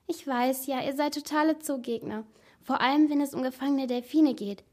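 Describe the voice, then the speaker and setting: Woman playing a nervous, higher-pitched schoolgirl confiding. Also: fairly even intonation, normal speed, darkish tone, close to an everyday voice. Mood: confiding, pleading. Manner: earnest, confiding, half-whispered. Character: excited, nervous schoolgirl of about thirteen, secretive.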